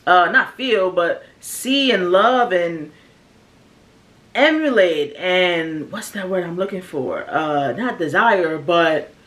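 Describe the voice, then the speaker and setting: A woman's voice: lowish voice, lively intonation, slow, fairly bright tone. A woman in her early thirties with an American accent, talking candidly and casually to her viewers in slangy, conversational language. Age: early 30s. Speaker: vlogger. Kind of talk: casual personal reflection to viewers.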